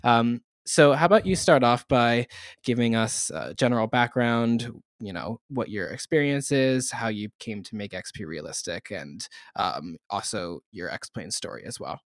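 A man's voice: medium pitch, normal speed, no echo, clean studio sound. A man co-hosting a podcast, welcoming and introducing a guest in a friendly, casual way. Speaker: podcast co-host.

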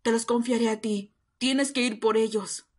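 A woman's voice: a little high, fairly even intonation, moderate pace, a little mellow, lightly acted. A woman voicing a commanding vampire matriarch in an audio drama, grave, imperious and fiercely protective. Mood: urgent command. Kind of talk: Spanish-language ASMR roleplay audio drama.

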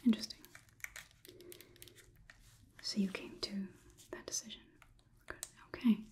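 A young woman performing an ASMR roleplay as a calm robot overlord, whispering in a measured, inquisitive, slightly condescending manner. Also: mid-range voice, natural voice. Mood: quietly probing. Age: young adult. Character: calm, clinical robot overlord examining a human. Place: small indoor room.

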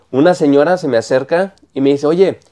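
A man's voice: on the high side, a little slow, mellow. A man in his thirties from Mexico with a Mexican accent, chatting on a studio podcast, often stumbling over words, repeating himself and laughing. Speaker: podcast co-host. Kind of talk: casual chat. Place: small studio with brick-wall set. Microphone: clip-on lapel mic.

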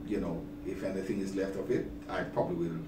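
An older, low-voiced man answers interview questions as a bishop. He speaks deliberately, with halts and repeated words. He sits away from the mic in a roomy office, with clear noise behind him. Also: level tone, distant mic. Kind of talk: interview, inviting the public to an event. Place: small office, seated at a desk.

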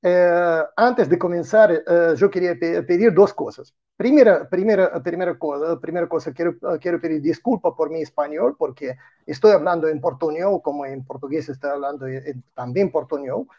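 An older man with a Russian accent speaks Spanish, which is not his native language, as a guest on a video call. His voice sits a bit high but sounds dark and dull through the call audio. He stops and restarts often while looking for words. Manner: halting, many restarts and fillers. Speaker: guest, retired colonel. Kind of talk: interview answers in non-native Spanish. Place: home room.

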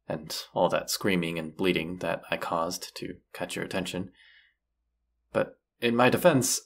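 A man playing a gentle, forgiving vampire hunter and lover in an intimate audio roleplay, speaking tenderly with hesitant pauses. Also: low voice, creaky, breathy edge, full character voice.

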